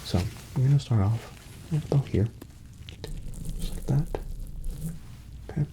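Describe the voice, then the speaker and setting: A man doing a soft-spoken ASMR doctor roleplay, gently reassuring as he gives unhurried instructions, often slipping into a breathy whisper. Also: mid-range voice, background noise. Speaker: ASMR performer playing a doctor.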